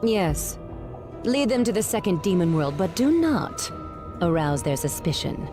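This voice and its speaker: A woman playing a cool, scheming demon villainess in a game, measured and commanding. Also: fairly low pitch, big pitch swings, over music. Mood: calculating.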